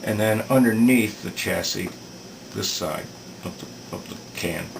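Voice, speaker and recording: Man, lowish pitch, casual technical walkthrough, halting and thoughtful, distant mic, noticeable background noise. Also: gravelly. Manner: halting, thoughtful, thinking aloud. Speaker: repair video maker demonstrating electronics work. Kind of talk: casual unscripted technical explanation.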